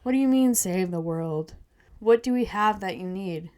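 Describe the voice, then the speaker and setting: A woman's voice: on the low side, a little slow, darkish tone, lightly acted. A woman voicing an earnest, timid teenage schoolboy in a story, apologetic and full of questions. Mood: puzzled.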